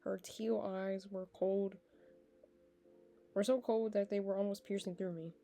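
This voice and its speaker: A woman's voice: medium pitch, fairly even intonation, a little slow, warm tone. A nineteen-year-old woman reading a visual novel aloud, breaking off into chatty, laughing tangents. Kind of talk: game playthrough with casual asides.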